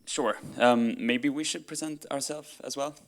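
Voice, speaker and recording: A young man presenting research to an audience in a relaxed, friendly way with hesitant fillers. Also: medium pitch, a little slow, slight background noise. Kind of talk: public presentation.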